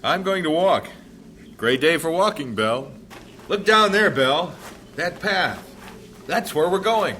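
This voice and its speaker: A man playing a calm, steady young missionary. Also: on the high side, unhurried, pauses often, a little hiss or hum. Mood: cheerful. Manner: calm, reassuring. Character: young male missionary husband, steady and kind.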